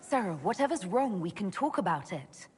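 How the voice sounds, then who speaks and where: An actress playing a confident young elven mage heroine in a video game, speaking firmly and directly. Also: female voice, medium pitch, very animated, normal speed, slight background noise, close to an everyday voice. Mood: determined. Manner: firm, direct. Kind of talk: video game cutscene acting.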